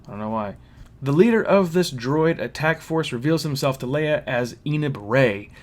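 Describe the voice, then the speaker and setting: A man casually recapping a story as a reviewer, with an animated manner and mocking asides. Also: medium pitch, moderate pace. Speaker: reviewer hosting a fan recap. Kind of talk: casual spoken plot recap and commentary.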